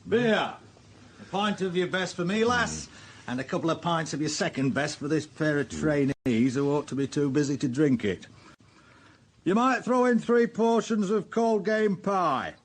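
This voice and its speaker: Actor as a boisterous police boss, swooping pitch. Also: male voice, lively intonation, normal speed, slight background noise, close to an everyday voice. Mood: boisterous, teasing. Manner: blunt, jovial, needling. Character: bluff, vulgar senior policeman, big, boisterous, mocking boss. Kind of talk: radio drama acting.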